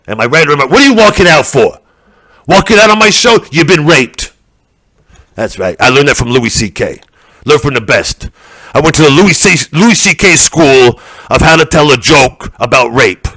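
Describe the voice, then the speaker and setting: A brash, foul-mouthed podcaster played by a man rants and rambles from a comedy stage. His voice sits fairly high and swings all over the place. The tone is bright and forceful throughout. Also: expressive, normal speed, dry recording, full character voice. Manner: ranting, profane, rambling. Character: brash bigoted American male podcaster. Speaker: stand-up comedian. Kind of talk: stand-up character act.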